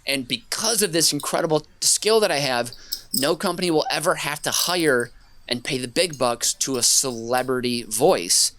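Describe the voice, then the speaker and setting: A man's voice: mid-range voice, moderate pace, fairly bright tone. A man co-hosting a comedy podcast, chatting casually in a rambling, self-mocking way with many fillers and restarts. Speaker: podcast co-host.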